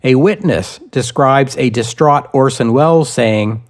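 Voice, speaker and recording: A man hosts a scripted history podcast. His mid-pitched voice moves through the material in measured, deliberate phrases, as a narrator reading prepared text.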